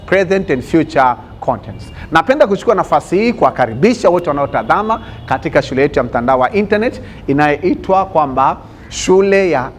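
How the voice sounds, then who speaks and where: Higher-voiced Tanzanian man teaching, noisy recording. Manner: deliberate, repeats each point in translation. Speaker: online secondary school teacher. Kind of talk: bilingual lesson introduction, alternating English and Swahili. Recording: clear background noise.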